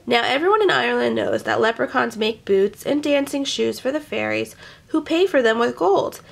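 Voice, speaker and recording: A woman reading a children's picture book aloud, expressively, with animated asides to young listeners. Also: fairly high pitch, normal speed, gravelly. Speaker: storyteller.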